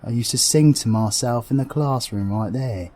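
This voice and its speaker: A British woman voicing a cocky, foul-mouthed male villain in a comic audio drama, crude, sarcastic and excitable. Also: rumbling low, normal speed. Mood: subdued, wistful.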